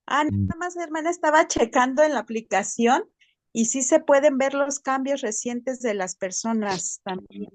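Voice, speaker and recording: A woman's voice: high-pitched, lively intonation, normal speed, no room sound. A woman in an online class explaining things helpfully over a video call. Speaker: class participant.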